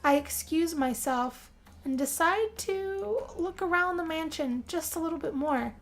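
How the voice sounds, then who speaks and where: Slow, high-pitched woman narrating dramatically. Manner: theatrical, drawn-out delivery with pauses. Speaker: gameplay narrator. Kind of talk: first-person role-play storytelling, dramatic narration.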